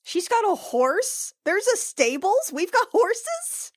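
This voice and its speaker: A woman's voice: extremely high pitch, big pitch swings, takes it slowly. A woman chatting casually as a player in a tabletop game.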